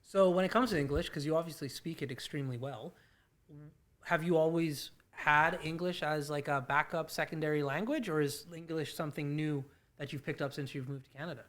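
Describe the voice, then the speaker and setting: A man's voice: on the high side, brisk, fairly bright tone. A man hosting a morning show in a casual, conversational interview style.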